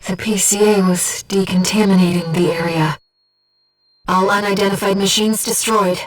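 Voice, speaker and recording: Woman voicing a gentle, composed female companion, distant sound. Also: mid-range voice, fairly even intonation, normal speed, soft background music, lightly acted. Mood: composed, informative. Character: gentle, earnest female disembodied mind companion guiding a pilot. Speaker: mission support operator in a video game. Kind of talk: scripted video game voice acting, mission briefing.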